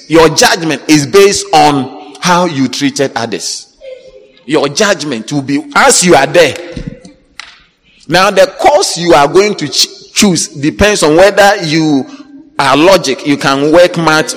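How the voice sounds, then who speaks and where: A man preaching an animated sermon, quizzing the congregation and breaking into shouts and laughter. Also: a little high. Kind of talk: lively church sermon. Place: church. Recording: reverberant church hall with congregation.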